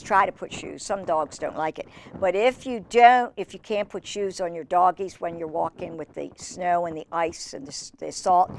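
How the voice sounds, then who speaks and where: A woman hosting children's storytime, reading aloud and chatting in an expressive, gushing manner with fond asides. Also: on the low side, a little hiss or hum. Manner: gushing, expressive, exclaiming over pictures.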